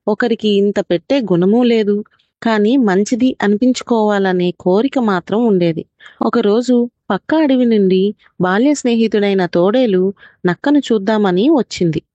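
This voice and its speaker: A woman narrates a children's tale in the role of a storytelling mother. Her voice is mellow and soft-edged, at a middle pitch. The recording is dry and a little dull. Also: normal speed, no echo.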